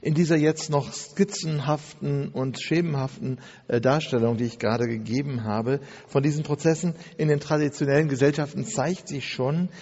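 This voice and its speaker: A man giving a spoken lecture, measured and methodical, stacking phrases one after another with an occasional filler. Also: a little high, steady tone, a little mellow. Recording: dull recording in a long-reverb hall.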